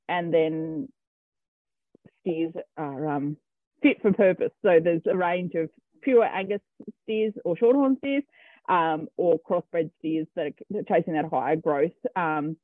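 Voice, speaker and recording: A man's voice: on the high side, measured pace, no room sound. An Australian man, a cattle farmer, answering interview questions in a hesitant way with many ums and restarts. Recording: tinny phone-line sound, dry.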